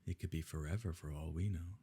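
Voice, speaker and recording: A man playing a possessive evil nobleman in an ASMR romance roleplay, speaking in a measured, formal, quietly coercive way with a husky, gravelly voice. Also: sits low, full character voice. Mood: smoothly coercive. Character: smooth, possessive evil nobleman courting a grieving beloved. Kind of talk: ASMR romance roleplay, scripted dramatic monologue.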